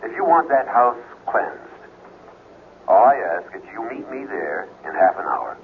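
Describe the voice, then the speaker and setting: A man acting a grave, determined ghost hunter in a radio drama, speaking in a measured, earnest, foreboding way. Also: lowish voice, sing-song. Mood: urgent, firm. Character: grave, determined male ghost hunter, steady under pressure. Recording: noisy, muffled old radio broadcast.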